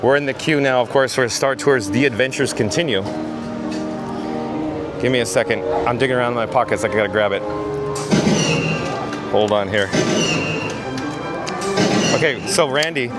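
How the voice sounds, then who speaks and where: A man hosting a casual live walking stream, chatty and a bit hoarse and raspy, reading the chat while juggling camera gear outdoors. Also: mid-range voice, moderate pace, pauses often, over loud music. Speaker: livestream host. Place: outdoor theme park and ride queue. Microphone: camera rig mic.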